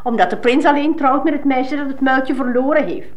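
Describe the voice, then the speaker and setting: A woman's voice: high voice, brisk, lightly acted. A woman with a Flemish accent playing a scornful, jealous young stepsister, mocking and bossy, in 1950s radio fairy-tale style. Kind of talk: 1950s radio drama acting.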